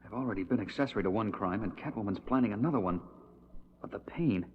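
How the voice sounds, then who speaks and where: Stoic male superhero played by a man, low and deliberate, clipped phrasing, 1970s audio drama with a dull record sound. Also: a little hiss or hum, lightly acted. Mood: strained, determined. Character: stoic male superhero, society playboy in disguise.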